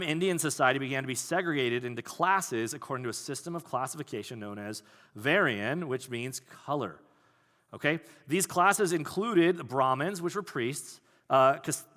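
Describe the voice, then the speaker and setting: Bright-voiced pastor in a reverberant hall. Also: male voice, mid-range voice. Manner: conversational, animated, acts out quoted voices. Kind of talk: sermon.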